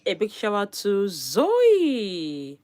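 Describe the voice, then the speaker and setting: A woman hosting a live stream, her voice a little hoarse from a cold. She talks at an unhurried pace, and her pitch swings widely as she stays upbeat and laughs often. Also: wildly swooping pitch, warm tone. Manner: upbeat, calls out viewer names with frequent laughs. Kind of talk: casual live stream chat.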